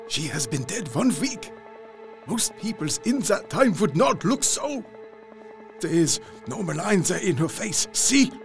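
An older professor and vampire hunter, gravelly and solemn. His voice swoops through a wide range as he speaks with deliberate, halting phrasing. He is grave and resolute, made for gothic audio drama. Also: sits high, big pitch swings, over music, full character voice. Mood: grave, urgent.

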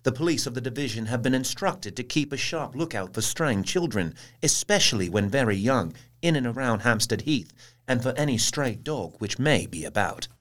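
Actor as formal Victorian newspaper reader, mid-pitched. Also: male voice, animated, moderate pace, a bit bright, close to an everyday voice. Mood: sober reporting. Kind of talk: formal dramatic news reading.

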